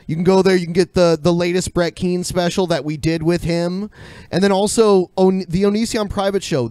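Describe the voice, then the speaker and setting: A man with a fairly high, smooth and clear voice co-hosts a podcast. He talks casually, swears a bit, and sounds close and dry. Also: a little mellow, no room sound. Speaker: podcast co-host. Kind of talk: casual, profane chat and announcements.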